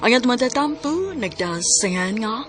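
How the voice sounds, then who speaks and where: A woman introducing a radio drama, slow and announcing. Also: a little high, sing-song, heavy background noise. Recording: radio with music underneath.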